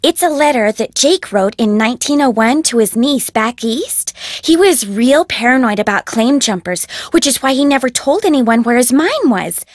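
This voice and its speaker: Chatty female game character voiced by an actress: high, very lively voice, storytelling, dry recording. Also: normal speed, hardly any pauses, dry recording, close to an everyday voice. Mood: explaining. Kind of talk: video game voice acting.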